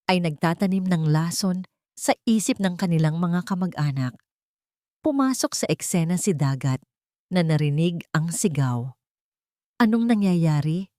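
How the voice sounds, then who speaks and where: A woman's voice: lowish voice, mellow, no echo, clean studio sound. A woman narrating a dramatic story in a scripted storytelling style.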